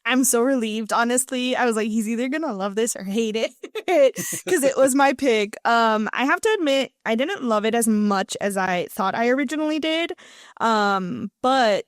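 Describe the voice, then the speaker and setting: A woman with a slightly high voice co-hosts a casual film review podcast. She talks informally and chattily, with plenty of slang, and is recorded dry with hardly any room sound. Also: animated, normal speed, clean tone, no echo. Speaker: podcast co-host.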